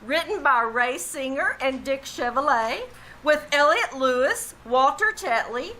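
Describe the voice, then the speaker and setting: A woman's voice: high-pitched, animated, unhurried, sharp tone, a little hiss or hum, full character voice, low-quality recording. A woman playing a 1940s radio show announcer, presenting in a formal scripted style on a theater stage. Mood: upbeat presentational. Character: bright-voiced female old-time radio show announcer. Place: live small theater stage.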